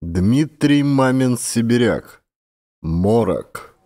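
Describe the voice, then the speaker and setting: Expressive male audiobook narrator, dry sound. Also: mid-range voice, very slow, no room sound. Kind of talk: dramatic scripted literary narration.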